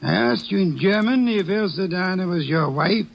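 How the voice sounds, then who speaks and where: An actor playing a shrewd, imperious detective in an old radio drama, with precise, formal diction. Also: male voice, sits high, lively intonation, normal speed, vocal fry. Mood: triumphant. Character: shrewd, imperious male detective, unruffled boss. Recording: dull old radio recording.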